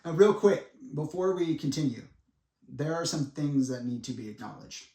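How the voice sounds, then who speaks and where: A man in his twenties giving a monologue to viewers in a dramatic, deliberate way, with pauses and blunt asides. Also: on the high side, lively intonation, mellow. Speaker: speaker making a personal public statement. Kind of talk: semi-prepared monologue addressed to viewers. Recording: echoey room, distant microphone.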